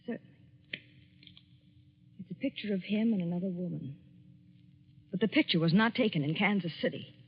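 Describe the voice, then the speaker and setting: An actress playing a jilted, despairing young woman in a 1940s radio suspense drama, earnest, measured and grimly resolute. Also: mid-range voice, husky, lightly acted. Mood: wounded, despairing. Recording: dull 1940s radio broadcast.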